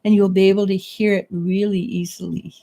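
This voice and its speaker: A middle-aged woman giving a casual psychic reading, halting and restarting as she searches for words. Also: medium pitch, flat delivery, gravelly, mellow. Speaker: psychic reader. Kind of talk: casual spiritual reading and chat.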